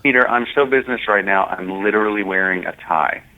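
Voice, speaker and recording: A man's voice: lowish voice, fast talker. A man calling in by phone to his podcast, chatting in an upbeat, jokey way. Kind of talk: casual chat. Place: hotel, away on business. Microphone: phone call. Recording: tinny phone line.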